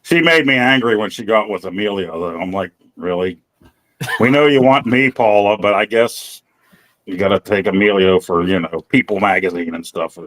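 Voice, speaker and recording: A man's voice: on the low side, normal speed, fairly bright tone. A man around fifty co-hosting a casual podcast, playful and joking. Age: 50s. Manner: playful, breaks into bits of song. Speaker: podcast co-host. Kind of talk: casual chat.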